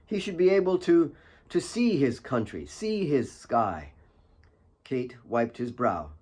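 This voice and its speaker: A man reading his own fiction as an audiobook, with solemn, deliberate dramatic narration. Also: medium pitch, sing-song, a little mellow, breathy edge.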